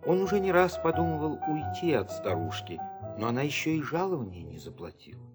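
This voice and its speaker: Male storybook narrator. Animated, measured scripted delivery over prominent music. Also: a little high. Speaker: fairy tale narrator.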